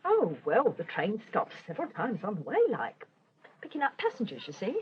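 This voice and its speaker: A woman's voice: medium pitch, huge pitch swings, brisk. Chatty English mother, swooping voice. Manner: homely, colloquial, fussing. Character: chatty, bossy working-class English mother on a train. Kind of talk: radio drama acting. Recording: dull radio recording, faint train noise.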